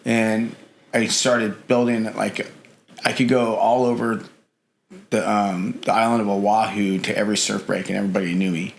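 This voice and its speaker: A middle-aged man on a podcast with a low, gravelly voice that stays fairly flat. He rambles through his answers in a relaxed way, scattering fillers and "you know". The delivery feels unpolished and conversational. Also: moderate pace, slight background noise. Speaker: podcast guest. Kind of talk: casual interview chat.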